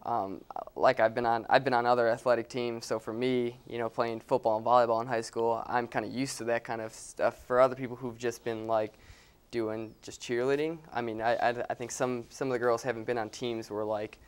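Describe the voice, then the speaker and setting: A man's voice: medium pitch, flat delivery, a little fast, clean tone. A young man talking as a guest in a casual interview, an easygoing, chatty storyteller. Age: young adult. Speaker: guest, college cheerleader.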